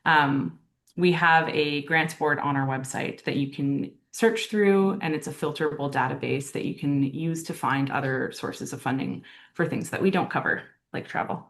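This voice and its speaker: A woman's voice: sits low, moderate pace. A woman on a video call answering applicants' questions about grant rules, hesitant with many ums and restarts but reassuring. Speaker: arts council grants staff host. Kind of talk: explaining answers in an online Q&A.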